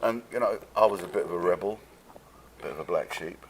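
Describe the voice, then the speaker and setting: A man from London with a London English accent tells stories casually as an interview guest, rambling and halting in a streetwise, sweary way. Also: deep voice, poor audio. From London, England.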